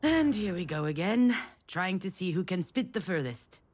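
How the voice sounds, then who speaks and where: A woman's voice: fairly low pitch, big pitch swings, normal speed, a little mellow. An actress playing a wry, self-deprecating failed screenwriter, confiding her thoughts as a game's narrator in a sardonic way. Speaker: narrator and protagonist. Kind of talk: first-person inner monologue in a narrative game.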